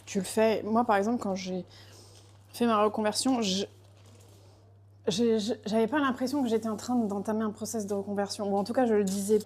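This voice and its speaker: A woman's voice: mid-range voice, fairly even intonation, brisk, darkish tone. A woman chatting casually, hesitant, with frequent fillers and restarts. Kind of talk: casual chat. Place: lives in Portugal.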